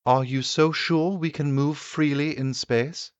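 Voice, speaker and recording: A man voicing an eager, assured Victorian gentleman inventor lecturing his guests in an emphatic, declamatory way, as in an audiobook. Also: on the high side, fairly even intonation, unhurried, clean tone, no room sound, lo-fi sound, pitched above the performer's own voice, lightly acted. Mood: challenging, assured. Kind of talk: audiobook character acting.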